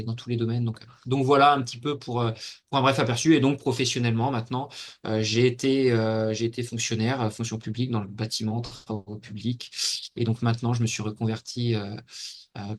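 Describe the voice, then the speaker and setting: A man in his forties from Normandy, a guest giving a steady, detailed account of his career with frequent pauses and fillers. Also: mid-range voice. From Normandy, France. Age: 40s. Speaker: guest, athlete and mental coach. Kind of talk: casual interview talk.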